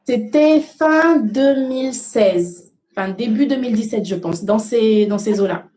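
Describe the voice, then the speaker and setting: A woman's voice: fairly high pitch, measured pace, warm tone, roomy sound. A young woman from Senegal, an interview guest telling her own story in a casual, conversational way. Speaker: interview guest, cosmetics brand founder. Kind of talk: casual first-person storytelling.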